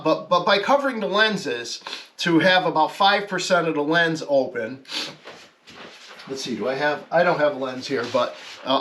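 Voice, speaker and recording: A man with a slightly high-pitched voice presents a hobby radio project in a casual, explanatory way. He talks haltingly through the technical details, stopping to correct himself as he goes. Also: a bit bright. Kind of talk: casual explanatory video talk.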